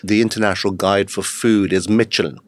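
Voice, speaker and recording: A man in his fifties, a guest in a podcast interview, telling his story in a steady, matter-of-fact way with the odd hesitant "uh.". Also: on the low side, brisk. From England. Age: 50s. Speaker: guest, executive coach.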